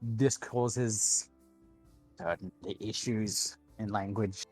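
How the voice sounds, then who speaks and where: Australian male, low pitch, informal group-chat delivery, faint music underneath. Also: fairly even intonation, slow pace, darkish tone, breathy edge. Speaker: guest on a group livestream.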